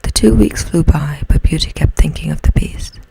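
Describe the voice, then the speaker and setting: A woman narrating an ASMR bedtime story in a gentle, slow, soothing, breathy whisper. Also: sits low, rough recording.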